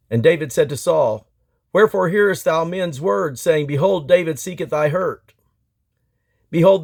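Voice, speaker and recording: Male scripture reader, mid-pitched, gravelly and husky, emphatic dramatic delivery. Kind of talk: dramatic Bible read-aloud.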